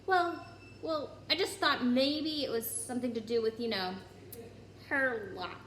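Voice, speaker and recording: A woman's voice: a little high, unhurried, fairly bright tone. A woman voicing a nervous middle-aged husband in a storybook, hesitant and mumbling, in an echoey room. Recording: roomy echo, some background noise.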